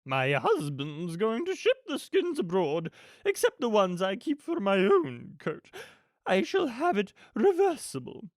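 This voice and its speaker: A woman's voice: medium pitch, wildly swooping pitch, unhurried, no echo, clean studio sound, pitched above the performer's own voice. A woman voicing an imperious, gleefully murderous rich villainess from a storybook, with a haughty, theatrical, commanding manner. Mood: haughty, scheming.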